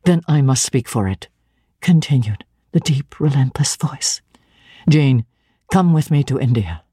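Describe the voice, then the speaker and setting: A woman's voice: extremely low pitch, animated, a little slow, dark tone, breathy edge, no echo, studio quality, voice pushed down, full character voice. A woman voicing a stern, zealous young clergyman who speaks with solemn, commanding deliberation. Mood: insistent.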